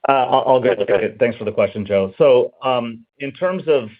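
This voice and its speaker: A man's voice: medium pitch, normal speed. A man, a finance executive, giving matter-of-fact guidance on an earnings call, hedging casually with "you know". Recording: phone-line audio.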